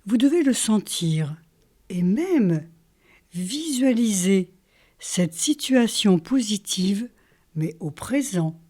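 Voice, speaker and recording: A woman leading a guided yoga nidra relaxation as a teacher, calm and soothing, in short phrases with pauses. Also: mid-range voice, slightly rough. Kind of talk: guided relaxation meditation, instructional.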